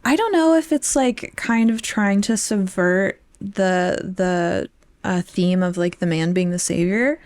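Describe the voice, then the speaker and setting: A woman's voice: mid-range voice, unhurried, darkish tone, no echo. A woman with a mid-range voice talks informally as a podcast host, giving emphatic opinions in loose, conversational phrasing. The sound is dry, with very little room around her. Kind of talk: casual opinionated book discussion.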